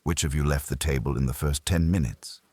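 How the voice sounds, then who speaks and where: A man playing an imperious older detective in an audiobook mystery, speaking in a measured, formal, pompous way. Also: rumbling low, fairly even intonation, a little mellow, slightly rough, no echo. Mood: stern, deliberate.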